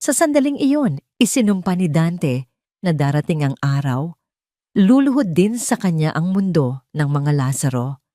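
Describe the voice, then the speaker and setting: A woman's voice: low-pitched, very animated, normal speed, mellow, no room sound, clean studio sound. A woman narrating a scripted love story in a dramatic storytelling style.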